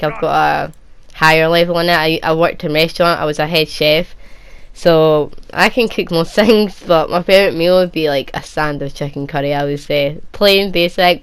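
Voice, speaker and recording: Scottish young woman with a low voice. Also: clean tone. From Edinburgh, Scotland. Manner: chatty, rambling, lots of restarts and "like". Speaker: gaming YouTuber. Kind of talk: casual Q&A chat.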